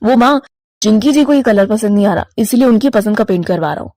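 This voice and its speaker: A woman's voice: medium pitch, expressive, quick pace, mellow, dry recording, studio quality, voice pushed down, natural voice. A woman voicing an obedient, henpecked young son crow in a cartoon story, earnest and meek. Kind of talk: animated cartoon moral story.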